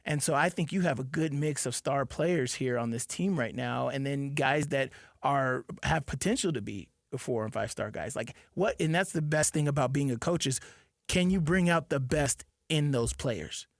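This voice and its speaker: A man's voice: medium pitch, normal speed, creaky, dry recording, studio quality. A man filling in as co-host on a sports radio show, talking emphatically and often tagging his points with questions. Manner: emphatic, keeps checking with tag questions, restarts words. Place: radio studio. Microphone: studio microphone.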